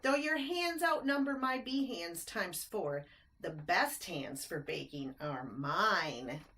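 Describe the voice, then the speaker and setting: A woman's voice: on the low side, animated, slow, bright, forceful tone, far from the mic, heavily acted. A woman reading the part of a boastful, self-important male bee baker in a children's storybook, bragging and dismissive.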